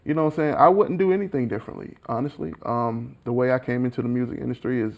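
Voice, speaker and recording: A man's voice: medium pitch, fast, vocal fry, darkish tone, slight background noise. A man with a Southern American accent chats casually as an interview guest, in an informal, slangy way full of "like" and "you know.". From small town in Alabama, USA. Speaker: guest, recording engineer and mixer.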